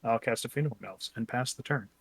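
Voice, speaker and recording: A man's voice: mid-range voice, a little fast, husky, dry recording. A man from Ontario with a Canadian accent, giving matter-of-fact opinions in casual card game banter.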